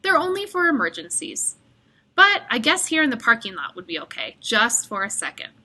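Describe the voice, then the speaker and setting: A woman's voice: on the high side, wildly swooping pitch, moderate pace, sharp tone, husky, full character voice. A woman voicing a cheerful, obliging woman ambulance worker in a storybook, welcoming and upbeat. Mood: playful, obliging. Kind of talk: storybook character voice in read-aloud.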